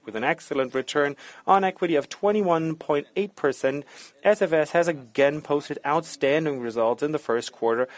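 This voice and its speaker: A man delivering a formal, scripted business presentation in a measured way, as a corporate executive reporting results. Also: on the high side.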